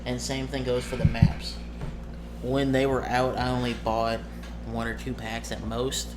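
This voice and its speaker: A man's voice: mid-range voice, little pitch movement, a little slow. Guy rambling about his tabletop rpg, corrects himself a lot, medium voice, noisy home mic. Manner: rambling, self-correcting, informal. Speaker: amateur game master, presenter of the video. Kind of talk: casual vlog talk about a tabletop roleplaying game. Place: indoors at a desk with monitor and cameras. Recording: noisy home recording with room ambience.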